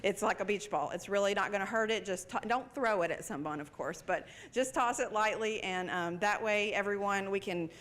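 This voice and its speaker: A woman's voice: medium pitch, normal speed, hardly any pauses, fairly bright tone. A woman, a library director, giving a welcome speech at a live campus event, friendly and speaking with many fillers. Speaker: library director introducing a panel.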